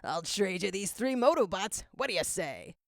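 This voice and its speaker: A woman's voice: mid-range voice, animated, moderate pace, vocal fry, no room sound, studio quality. A woman voicing a boisterous, scheming male supervillain doctor with a taunting, theatrical manner.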